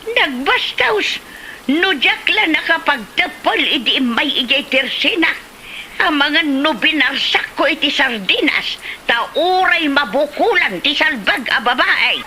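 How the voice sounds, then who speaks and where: A woman's voice: sits high, lively intonation, measured pace, pushed and bright, exaggerated voice, rough recording. A woman playing an indignant, scolding elderly village woman in a comedy drama, with an Ilocano accent. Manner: scolding, exclamatory. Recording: slight background noise.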